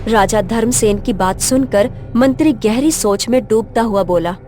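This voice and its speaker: A woman narrates a scripted story. Her voice is pitched a little high, in a storyteller's delivery. Faint music plays underneath her narration. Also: moderate pace, mellow.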